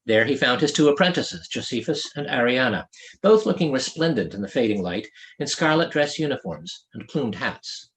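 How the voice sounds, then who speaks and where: A man narrating a story as an author reading his own novel, in an expressive storytelling delivery. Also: mid-range voice, moderate pace, rough recording. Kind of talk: scripted audiobook narration.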